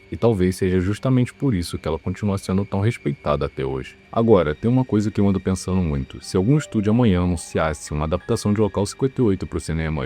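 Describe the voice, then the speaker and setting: A Brazilian man hosting a video essay, narrating from a script in a measured, explanatory way and giving his opinions. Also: low voice, mellow. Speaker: video host presenting a ranking. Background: background music.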